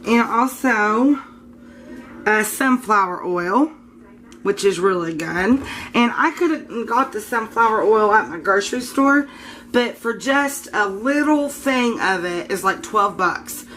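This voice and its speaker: A woman speaks at a middle pitch, her voice scratchy and congested. She chats casually and informally in a home kitchen, a little unsure and often correcting herself. A faint hum of room noise sits under her voice. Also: expressive, takes it slowly, fairly bright tone. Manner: chatty, informal, a bit unsure, self-correcting. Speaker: home soap maker. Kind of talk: casual show-and-tell vlog.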